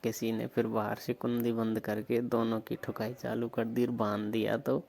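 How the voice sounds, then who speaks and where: Man acting as teasing young lover, Rajasthani accent, affectionate, gravelly, flat intonation, intimate phone-call drama. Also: mid-range voice, normal speed, natural voice. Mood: teasing, doubtful. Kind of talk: intimate romantic phone conversation, acted as a prank call recording.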